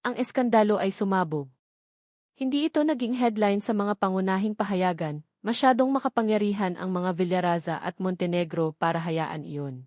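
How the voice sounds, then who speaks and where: A woman's voice: medium pitch, moderate pace, a little mellow, no echo. Female Filipino storyteller, mid-range voice, dry recording, scripted dramatic narration. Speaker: audiobook narrator.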